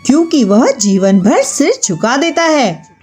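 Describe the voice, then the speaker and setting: A woman voicing a humble, wise village oil-presser in a children's story, calm and respectful. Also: sits high, unhurried, breathy edge, faint music behind, full character voice. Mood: calm, assured.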